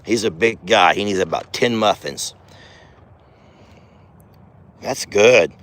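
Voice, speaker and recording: A middle-aged man who has lost his voice and talks hoarsely. He chats in a folksy way while filming on his farm, speaking softly to his bull. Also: lowish voice, slow pace. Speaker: farm vlogger, channel host. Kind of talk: casual outdoor vlog. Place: outdoors on a farm by a bull pen. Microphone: handheld phone camera.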